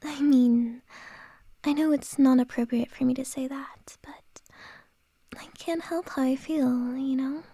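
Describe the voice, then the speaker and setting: Woman as a shy, whispering female boss for ASMR, high and mellow, slow hesitant delivery. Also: fairly even intonation, husky, dry recording, exaggerated voice. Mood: tender, flustered. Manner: soft whispering, hesitant and halting. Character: flustered woman boss confessing to an employee. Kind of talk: ASMR roleplay.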